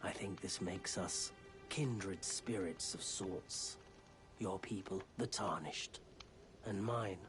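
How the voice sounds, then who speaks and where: An actor playing a weary, soft-spoken wandering merchant in a fantasy video game, speaking in a hushed, measured, confiding way. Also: male voice, deep voice. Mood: solemn, confiding. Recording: game dialogue with clear music behind. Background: music.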